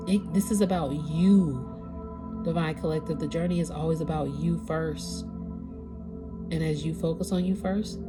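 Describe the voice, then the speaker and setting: Woman, lower register, congested and stuffy, easygoing card reader talking to her viewers, music bed underneath. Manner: casual, conversational, searching for words. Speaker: tarot reader. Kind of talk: spiritual reading for a collective audience.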